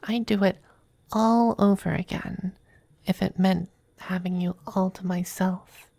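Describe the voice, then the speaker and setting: A close, dry, mellow voice for a tender demon femboy played by a woman in an ASMR roleplay. He speaks slowly and softly, slipping into breathy whispers. His manner is gently coaxing and hesitant, lovingly possessive. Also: medium pitch, steady tone, dry recording. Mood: wistful, devoted.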